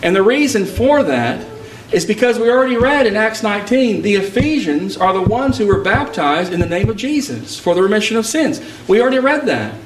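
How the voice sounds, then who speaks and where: Emphatic preacher in an echoey hall. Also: male voice, high voice, big pitch swings, a little fast. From Texas. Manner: emphatic, rising preaching cadence. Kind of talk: sermon with personal storytelling. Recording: echoey hall picked up from a distance.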